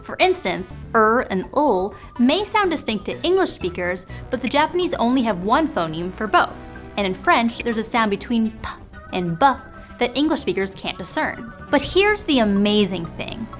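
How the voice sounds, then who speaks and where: A woman's voice: on the high side, big pitch swings, normal speed, soft background music. A woman hosting a science show, narrating in a scripted, explanatory way. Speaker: host.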